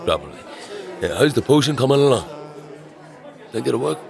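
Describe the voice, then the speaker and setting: An actor playing an awkward, wry fantasy fighter in naturalistic audio drama dialogue, hesitant and dry-humoured. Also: male voice, medium pitch, big pitch swings, normal speed, vocal fry. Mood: casual, wry. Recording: crowd ambience, faint background noise.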